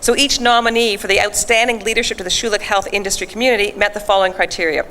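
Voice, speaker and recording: A woman presents at an awards gala, reading a prepared introduction in a measured, formal manner. Her voice has a middle pitch and rings with a long echo through the large hall, with faint noise underneath. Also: steady tone, a bit bright. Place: event hall.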